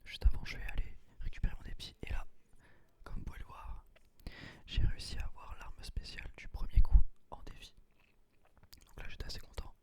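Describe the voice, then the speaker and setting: A man quietly explains his gameplay in a casual, breathy ASMR whisper. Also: fairly quick. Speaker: gaming video creator.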